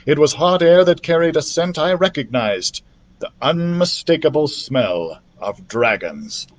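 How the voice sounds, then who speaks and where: Higher-voiced man narrating a storybook. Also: expressive, unhurried. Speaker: library storytime reader. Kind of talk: expressive scripted storybook narration.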